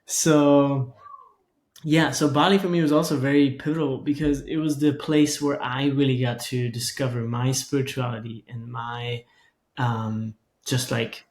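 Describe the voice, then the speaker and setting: Male podcast host, conversational, reflective and halting in delivery. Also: medium pitch, steady tone. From Germany. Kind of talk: casual interview chat.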